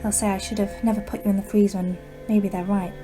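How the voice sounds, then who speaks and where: A woman performing a radio-drama monologue as a wounded, sinister house-plant owner, speaking in a hushed, halting, confiding way. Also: mid-range voice, level tone, over music, close to an everyday voice. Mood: bitter, defensive.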